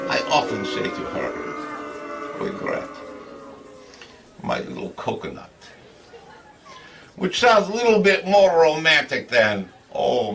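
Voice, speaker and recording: A man's voice: mid-range voice, gravelly, far from the mic, a little hiss or hum, full character voice, low-quality recording. A man playing a jolly, crude comedy host who tells stories in chuckling broken English. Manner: broken grammar, chuckling, animated storytelling. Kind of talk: comic monologue in character.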